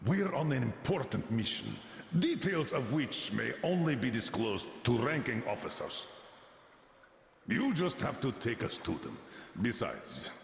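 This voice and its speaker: Blunt commanding squad leader, gravelly voice, long hall reverb. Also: male voice, medium pitch, sing-song, unhurried. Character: stern male squad leader. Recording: echoey hall with faint ambience.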